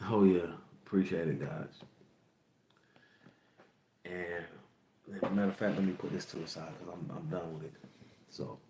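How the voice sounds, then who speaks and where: A man's voice: deep voice, a little mellow, echoey room, a little hiss or hum. A young man in his twenties hosting a podcast, telling a candid personal story to his viewers, rambling and repeating lines. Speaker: podcast host. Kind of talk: personal storytelling to a livestream audience.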